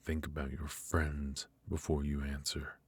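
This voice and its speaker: A man playing a menacing, teasing mafia boss in an intimate ASMR roleplay, speaking with deliberate pauses and mock politeness. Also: very deep voice, monotone, husky, heavily acted. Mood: probing, unhurried. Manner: slow, deliberate, mockingly polite with drawn-out pauses.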